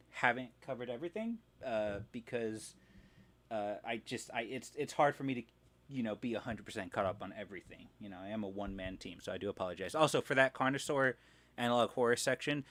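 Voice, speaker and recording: A man hosting a live stream, running casually through news in a halting, rambling way and often correcting himself. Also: medium pitch, a bit bright, no room sound.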